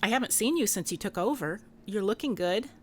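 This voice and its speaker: A woman's voice: medium pitch, expressive, fairly quick, a little mellow, natural voice. Woman acting a guarded female private investigator, noisy background. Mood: hesitant, awkward. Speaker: actor in a radio drama. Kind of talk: contemporary radio drama acting.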